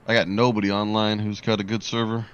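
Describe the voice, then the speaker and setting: A man's voice: on the low side, quick pace, muffled recording, slight background noise. A man in his forties chatting and joking with a friend while they play a game together over voice chat. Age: 40s. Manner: joking, lively banter. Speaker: fellow player. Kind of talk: casual chat over voice call while gaming.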